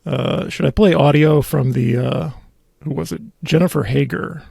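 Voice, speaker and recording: A man's voice: on the high side, fairly even intonation, moderate pace, gravelly, darkish tone, husky, dry recording, clean studio sound. A man hosting a local politics show, delivering indignant, opinionated commentary with emphatic repetition. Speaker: podcast host.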